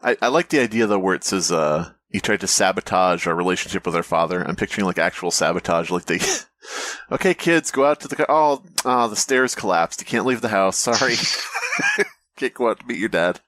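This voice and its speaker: A man's voice: sits low, animated, brisk, fairly bright tone. A man co-hosting a comedy podcast, bantering casually and joking his way through mock bits and read-out stories. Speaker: podcast co-host.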